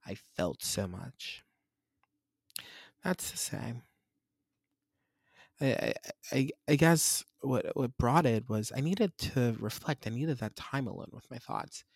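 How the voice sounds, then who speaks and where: A man's voice: medium pitch, lively intonation, takes it slowly, creaky, pauses often, no room sound, studio quality. A man, hosting a solo podcast and reflecting in a candid, rambling, self-searching way. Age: 20s. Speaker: podcast host.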